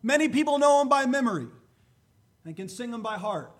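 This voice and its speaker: A man preaching a sermon and reading scripture, slightly hoarse, with a deliberate, emphatic delivery. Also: very high-pitched, animated, a bit bright.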